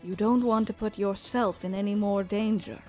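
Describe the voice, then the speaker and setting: A woman voicing a thoughtful young adventurer in an anime audio drama, phrasing things deliberately with pauses. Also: medium pitch, steady tone, a little mellow, soft background music, natural voice. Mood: wary, resolute.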